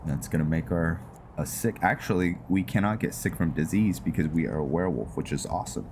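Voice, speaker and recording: A man giving casual gameplay commentary mixed with story narration, halting and thinking aloud as he goes. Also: low voice, a little mellow, a little hiss or hum. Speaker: host of a gaming roleplay series. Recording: music behind the voice. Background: music.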